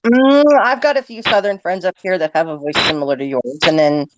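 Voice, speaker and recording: A woman's voice: deep voice, brisk. Outspoken woman on a muffled line. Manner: outspoken, blunt. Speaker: livestream guest. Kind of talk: casual livestream chat. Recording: muffled, noisy call audio.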